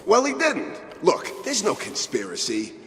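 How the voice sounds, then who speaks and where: A man's voice: high voice, wildly swooping pitch, measured pace, fairly bright tone, exaggerated voice. A man playing a blunt, exasperated thug keeping his crew in line in a video game. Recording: echoey hall, clearly noisy.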